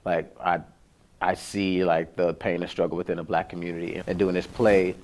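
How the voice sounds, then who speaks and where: A man's voice: sits low, little pitch movement, normal speed, darkish tone. A man, an actor being interviewed, talking casually and hesitantly with lots of "like". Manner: hesitant, heavy use of "like".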